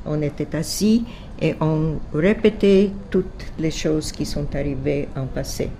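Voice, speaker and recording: An older woman giving testimony in a discussion, halting and searching for her words. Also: sits low, mellow, slight background noise. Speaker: guest, war survivor.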